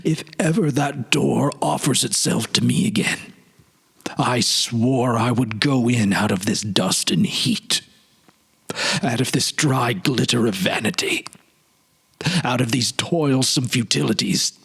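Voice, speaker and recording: Man acting a breathy, haunted middle-aged politician. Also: medium pitch, fairly bright tone, full character voice, low-quality recording. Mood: anguished regret. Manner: halting, sorrowful, dramatic delivery. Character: weary, haunted forty-year-old British politician confessing regret. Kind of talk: dramatic literary audiobook reading.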